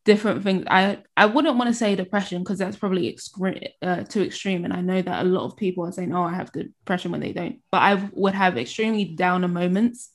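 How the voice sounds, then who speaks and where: A woman telling her faith story in casual conversation, often pausing and restarting her sentences. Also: on the low side. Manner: halting, restarts, laughs while telling.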